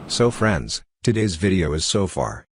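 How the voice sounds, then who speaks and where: A man's voice: sits low, sing-song, a little slow, slight background noise. A man narrating a step-by-step tutorial as presenter, in an instructional way with non-native English phrasing.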